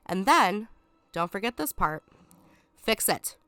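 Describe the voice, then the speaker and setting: A woman's voice: mid-range voice, sing-song, slow pace, bright, forceful tone, no echo, heavily acted. A woman reading a scheming, condescending lion villain. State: stuffed up from a cold. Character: scheming, sarcastic male lion villain, self-proclaimed king.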